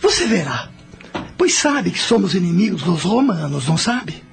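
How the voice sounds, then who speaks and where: A man's voice: high-pitched, very animated, normal speed, creaky, heavily acted. A man playing a stern, proud general and father in a radio drama, speaking in a solemn, commanding way. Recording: faint music under the voice.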